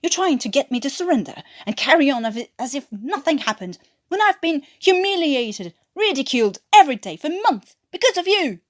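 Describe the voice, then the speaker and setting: Arrogant English-accented military man acted by a woman, bitter, clenched and scornful, widely swinging pitch. Audio story. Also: very animated, brisk. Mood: furious. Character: bitter, arrogant male flight sergeant, proud and furious.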